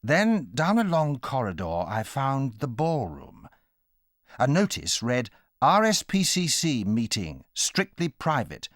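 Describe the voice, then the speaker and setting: A man's voice: medium pitch, wildly swooping pitch, takes it slowly, a bit bright, no echo, studio quality, full character voice. An actor narrating as a storyteller recalling his boyhood in a dramatized audiobook. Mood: measured storytelling.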